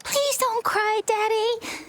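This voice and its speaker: A woman's voice: extremely high pitch, steady tone, slow pace. A woman voicing a small, timid puppet girl in a video game, talking in a pleading way.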